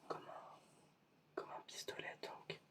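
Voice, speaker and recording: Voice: slow, recorded from a distance. An ASMR creator whispering through a playful eye-test roleplay, asking patient, mock-puzzled questions. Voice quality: whispered, unvoiced.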